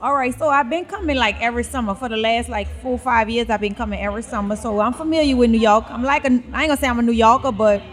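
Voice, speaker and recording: Guest: joking, slangy New Orleans woman, pitched a little high, faint music and diners behind. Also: fast, few pauses. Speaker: podcast guest. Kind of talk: casual banter. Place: restaurant back room. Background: restaurant noise, music.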